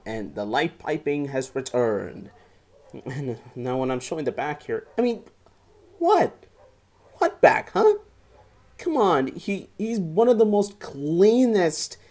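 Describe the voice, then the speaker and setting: A man's voice: medium pitch, darkish tone. A young man giving a casual, enthusiastic toy review to camera at home, often repeating words as he goes. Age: young adult. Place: small furnished room at home, indoors.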